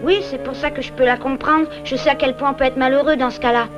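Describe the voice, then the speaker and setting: A woman's voice: high voice, steady tone, fast, dull audio, lightly acted. A woman voicing a cheeky, boastful little boy beaver in a dubbed cartoon, playful and teasing. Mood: gentle. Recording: old VHS cartoon with music. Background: music.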